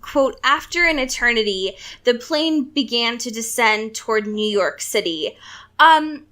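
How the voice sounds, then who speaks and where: Woman, highish voice, slow-paced, swooping expressive delivery, casual comedic podcast talk. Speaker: podcast co-host. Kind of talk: casual comedic book discussion chat.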